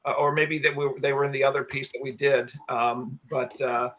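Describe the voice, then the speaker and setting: A man answering questions in a casual panel Q&A, explaining things in a halting, explanatory way as the director and engineer of a production. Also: medium pitch, little pitch movement, fairly bright tone, rough recording. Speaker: radio play director and sound engineer.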